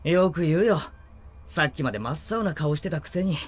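Animated, brash young man. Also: a little high, brisk, slightly rough. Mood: grumbling, relieved. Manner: blunt, casual, excitable. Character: worried, brash, warm-hearted young man. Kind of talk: Japanese anime audio drama acting.

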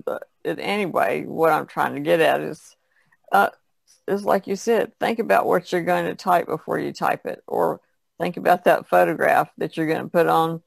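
A woman's voice: low voice, moderate pace, creaky, no echo. A woman chatting casually in a podcast discussion.

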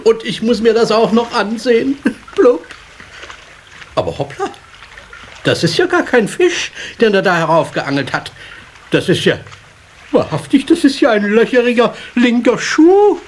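An actor playing a grumpy, know-it-all old carp who scolds and blubbers theatrically in a children's radio play. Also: male voice, very high-pitched, very animated, unhurried, pauses often, full character voice. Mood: agitated, gleeful. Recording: slightly noisy old cassette transfer.

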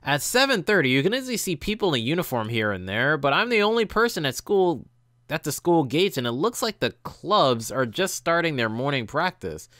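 A man's voice: a little high, very animated, a little fast, fairly bright tone, no echo, studio quality. A young man doing casual gameplay commentary, reading story text aloud and reacting informally. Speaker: let's player. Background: game music.

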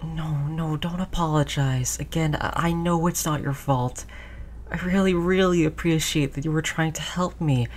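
A woman playing a gentle human who soothes their android companion in an intimate roleplay audio drama, tender and reassuring. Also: deep voice, steady tone, normal speed, a little hiss or hum, natural voice. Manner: soothing, tender, hushed, repeating reassurances softly.

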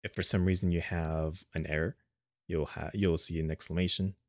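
A man narrating an unscripted step-by-step software tutorial in a plain, instructional manner, recorded as a screen voice-over. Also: low-pitched, flat delivery, normal speed, no echo, clean studio sound.

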